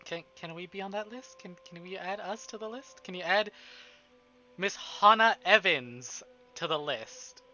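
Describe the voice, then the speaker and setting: A man with a high, very bright voice gives live game commentary. His reactions are excitable and gushing. The stream audio is slightly dull, with game music behind him. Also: unhurried.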